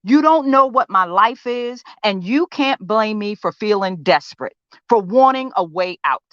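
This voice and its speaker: A woman's voice: mid-range voice, lively intonation, unhurried, a bit bright, no echo, muffled recording. A woman playing a desperate, depressed woman confronting her therapist in a pointed, emphatic dramatic audition monologue. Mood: defiant, desperate.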